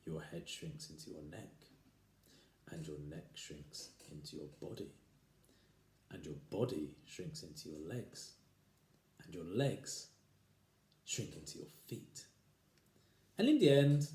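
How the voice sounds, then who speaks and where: A man voicing a cruel old husband who taunts his wife with loud, slow, gloating lines. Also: lowish voice, wildly swooping pitch. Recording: echoey room.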